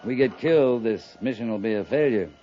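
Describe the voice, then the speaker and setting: An American man playing a calm, resolute cavalry captain in a 1950s radio western, measured, understated and commanding. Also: medium pitch, natural voice. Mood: dry, calm. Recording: dull, noisy 1950s radio recording.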